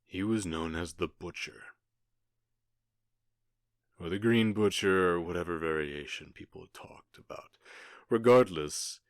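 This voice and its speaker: A man playing a menacing, smugly courteous outlaw gang leader in a western audio drama, speaking with taunting, falsely polite pauses. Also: sits low, dry recording, clean studio sound. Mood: storytelling, smug.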